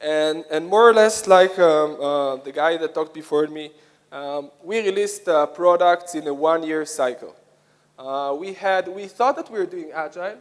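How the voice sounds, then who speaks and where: Long hall reverb surrounds a man with an Israeli accent and a somewhat high-set voice. He is presenting to a conference audience. His delivery is halting, with many hesitations and phrases begun again. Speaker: conference speaker, software product lead.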